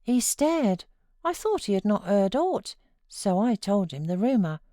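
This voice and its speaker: Woman acting a chatty, high-pitched rustic servant woman. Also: sing-song, a little slow, mellow, no room sound, clean studio sound. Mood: gossiping. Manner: exclamatory gossiping in rural dialect.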